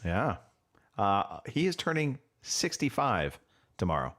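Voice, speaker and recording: A man's voice: fairly low pitch, sing-song, a little slow, a bit bright. A man hosting a casual podcast, upbeat and easygoing as he runs the show. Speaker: podcast host. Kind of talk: casual chat.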